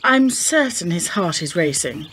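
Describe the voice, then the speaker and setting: A woman's voice: lowish voice, sing-song, measured pace, fairly bright tone, breathy edge, exaggerated voice. A woman playing a genteel, anxious upper-class 1920s wife in a radio play, formal and pleading.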